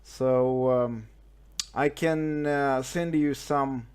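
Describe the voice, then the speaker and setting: A man with a Russian accent speaks extremely slowly, with a mid-range voice. He is an amateur radio operator in casual conversation, halting often as he searches for words in his second language. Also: mid-range voice, fairly even intonation, a little mellow, clean tone.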